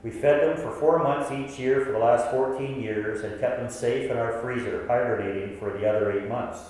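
A man's voice: mid-range voice, steady tone, normal speed, a little mellow, close to an everyday voice. A man playing a devoted 58-year-old who narrates his own story in a radio play. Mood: reflective. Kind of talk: scripted first-person radio play narration. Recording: distant, echoey hall with long reverb.

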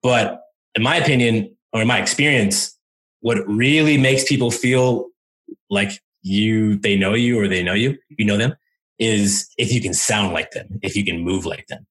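A man speaking as an interview guest, explaining and telling anecdotes in an informal, animated way with acted-out asides. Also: on the low side, normal speed, low-quality recording. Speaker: interview guest, language coach.

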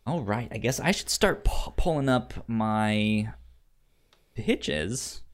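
A man chatting casually as a podcast co-host, halting and stammering over the starts of words. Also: mid-range voice, expressive. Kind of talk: casual chat.

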